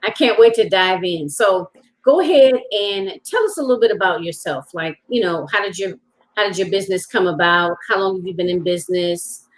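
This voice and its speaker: A woman's voice: fairly low pitch, brisk, low-quality recording. Upbeat woman hosting a talk show. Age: older than guest. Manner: upbeat, welcoming, chatty. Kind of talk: online talk show interview.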